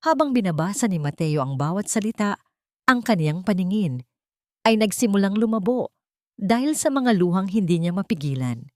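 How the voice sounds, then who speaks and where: A woman's voice: medium pitch, very animated, normal speed, dry recording, clean studio sound. A woman reading a scripted dramatic story in a solemn, melodramatic manner. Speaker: storyteller narrator.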